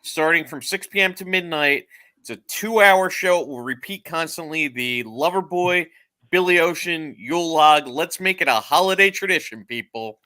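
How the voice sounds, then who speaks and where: A man's voice: fairly high pitch, normal speed, sharp tone. A man, a panelist and streamer, casually promoting himself with wry self-mockery.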